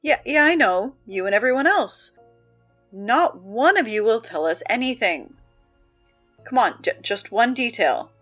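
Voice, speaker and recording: A woman acting as an exasperated, wry high school student reading morning announcements in an apocalypse audio drama, halting and conversational. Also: animated, lo-fi sound. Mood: insistent, prying. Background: music.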